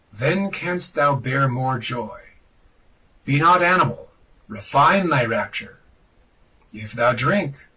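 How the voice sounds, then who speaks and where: Slow, declamatory man, bright forceful voice. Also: medium pitch, slightly rough, far from the mic, poor audio. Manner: declamatory, deliberate, with emphatic pauses. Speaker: podcast reader of esoteric texts. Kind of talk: solemn dramatic reading of a religious text.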